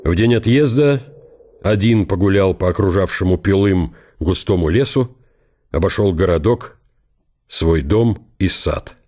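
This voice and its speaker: A man narrating a historical story for a radio play, deliberate and broken into short phrases. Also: sits low, warm tone.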